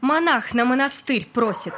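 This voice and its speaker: A proud young daughter in a theatrical drama. Her voice is high and cutting, with a scornful, scolding edge. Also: lively intonation, normal speed, slight background noise. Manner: sharp, scolding.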